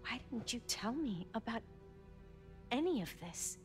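A woman's voice: a little high, big pitch swings, measured pace. An actress playing a protective, loving mother of a teen hero, earnest and slipping into Spanish phrases. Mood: worried. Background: music.

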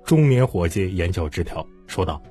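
A man's voice: sits low, expressive, moderate pace, warm tone. A man narrating a story in a dramatized, scripted audiobook style. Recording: music bed behind the voice.

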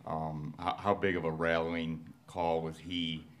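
Man, reporter, extremely deep, monotone, press question. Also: a little slow.

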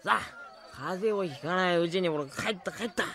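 A man's voice: high-pitched, normal speed, sharp tone. A man playing a tipsy young sorcerer in an anime audio drama, talkative and pushy, with slurred, rambling speech. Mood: tipsy, scolding. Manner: slurred, boisterous drunken rambling.

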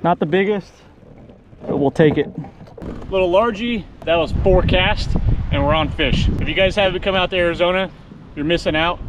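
Casting: American male outdoor vlogger, thirties, slightly high voice, loose slangy delivery, recorded on the water with clear wind and boat noise. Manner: laid-back slangy bro talk, swearing. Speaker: fishing vlogger. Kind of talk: casual chat to camera. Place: outdoors on a kayak on open water. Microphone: action camera close by.

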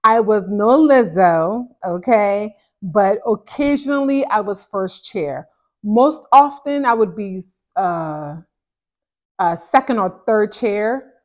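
A woman in her thirties from Ghana, a therapist hosting a casual question-and-answer chat to camera, speaking in an animated, chatty way into a lapel mic in a small indoor room. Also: mid-range voice, slow pace. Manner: chatty, animated, gestures a lot while talking. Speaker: therapist and channel host. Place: small indoor home office.

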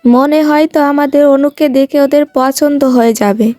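A stern village mother, acted by a woman in a cartoon moral story. She speaks emphatically in a high voice, close and dry over music. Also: steady tone, moderate pace, dark tone, no echo, close to an everyday voice. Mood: practical, hopeful. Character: stern, scolding mother of a grown daughter. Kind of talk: cartoon moral-tale voice acting.

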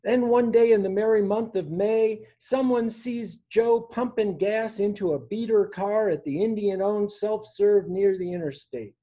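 A man in his sixties reading his own poems for an online open mic, with a measured, deliberate delivery. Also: high voice, mellow, highs cut off. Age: 60s. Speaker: poet reading own work. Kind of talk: poetry reading at a virtual open mic, with informal introductions. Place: small room indoors at home.

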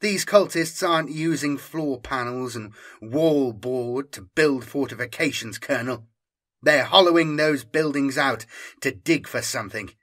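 A man's voice: medium pitch, a little slow, fairly bright tone. A man voicing a stern, commanding female inquisitor in a grave, intense audiobook style. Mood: alarmed, urgent.

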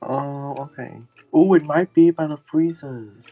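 A man playing a kid in an improvised video game roleplay, making drawn-out, sassy remarks. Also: fairly high pitch, mellow, dry recording, lo-fi sound. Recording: faint background music.

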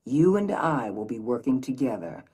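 An actress playing a steely, domineering middle-aged agency boss in a video game, commanding, curt and menacing. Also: female voice, very deep voice, big pitch swings, normal speed, dark tone, husky, heavily acted. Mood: quietly menacing. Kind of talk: dramatic video game acting.

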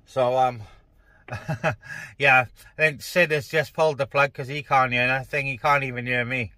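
A man's voice: mid-range voice, level tone, moderate pace, pushed and bright, no echo, clean studio sound. A man chatting casually as a CB radio operator, speaking colloquially and repeating phrases, inside a parked car.

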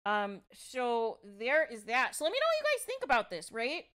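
A woman's voice: a little high, wildly swooping pitch, moderate pace, a bit bright, clear, resonant tone. A woman hosting informal gossip commentary, speaking emphatically and repetitively, often addressing her listeners directly. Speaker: online commentary show host.

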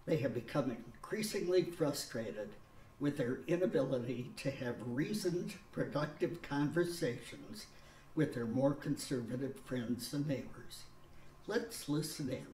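A man's voice: medium pitch, lively intonation, a little slow, darkish tone. An older man narrating and announcing in a formal, old-time radio style. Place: small studio with a draped backdrop. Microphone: stand mic. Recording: echoey, distant room sound.

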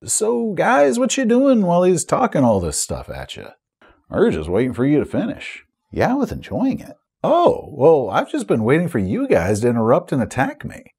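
A man's voice: lowish voice, breathy edge. An American man in his forties giving casual, emphatic commentary to camera as a game master. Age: 40s. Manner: animated, conversational, emphatic. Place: small room at home, indoors.

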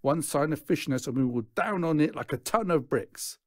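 A man's voice: a little high, animated, fairly quick, no room sound, clean studio sound, pitched above the performer's own voice, natural voice. A man voicing a pompous, suspicious male gang boss in a children's storybook, blustering emphatically.

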